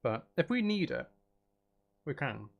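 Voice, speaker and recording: A man's voice: a little high, big pitch swings, measured pace, creaky, narrow, tinny audio. A man chatting casually on a gaming livestream, thinking aloud as he builds and bantering playfully with viewers, with some mild swearing. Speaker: livestream host.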